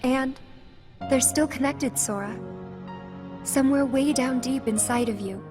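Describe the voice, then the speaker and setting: A wistful teenage girl in a video game, soft and solemn. The voice is high and slow, with music playing behind it. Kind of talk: video game cutscene acting.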